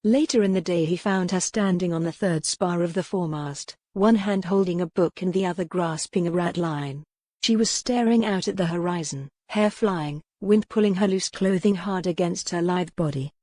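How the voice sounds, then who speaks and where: A woman's voice: lowish voice, a little mellow, dry recording. A woman reading scripted fiction as a dramatic audiobook narrator.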